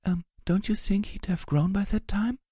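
A man voicing a timid, gentle female pony in an audiobook story, soft, breathy and hesitant. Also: high voice, steady tone, normal speed, no echo, studio quality, voice pushed up. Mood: worried, hesitant. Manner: hesitant, meek.

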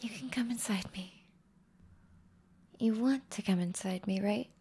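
A woman voicing an affectionate, ancient horned and tailed demon woman in an intimate audio roleplay, murmuring softly to the listener in a breathy whisper. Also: mid-range voice. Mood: reassuring. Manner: soft, slow, intimate murmuring.

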